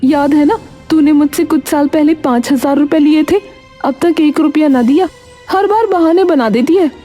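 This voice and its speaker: A woman's voice: sits high, normal speed, mellow, soft background music, voice pushed up, lightly acted. A woman voicing a demanding, aggrieved female neighbour in a cartoon story, with a nagging, complaining manner.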